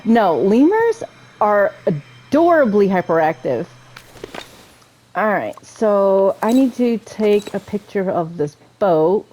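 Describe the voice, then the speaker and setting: A woman giving casual, chatty gameplay commentary, excitable and often interrupting herself. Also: medium pitch, slow pace, slight background noise, poor audio. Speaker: video game streamer playing through a story quest.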